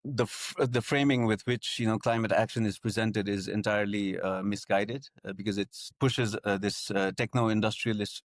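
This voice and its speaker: A young man from Nepal, a guest talking through his story in a conversational interview. His voice sits on the low side and comes across close and dry, with no room sound. He speaks in a halting, thoughtful way, full of "uh"s and restarted phrases. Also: steady tone, dry recording. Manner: hesitant, many fillers and restarts.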